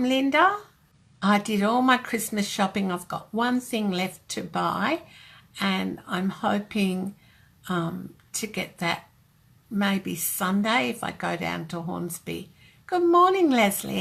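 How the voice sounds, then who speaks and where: An older Australian woman talks slowly and chattily through a craft live stream, greeting viewers by name as she goes. Her voice is heavily gravelly and a little husky. She sounds like she is speaking into a camera set up on her desk. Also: mid-range voice, a bit bright.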